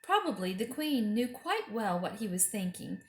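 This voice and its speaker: A woman reading a children's book aloud as an expressive storyteller. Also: medium pitch, normal speed. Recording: roomy echo.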